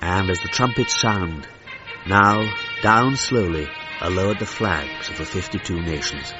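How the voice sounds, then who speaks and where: An actor playing a formal 1930s British radio commentator with a received-pronunciation English accent and a florid, precise delivery. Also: male voice, low voice. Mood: stately, solemn. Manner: measured, stately, precise, florid. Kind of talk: 1930s outside-broadcast commentary. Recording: muffled vintage broadcast, music behind.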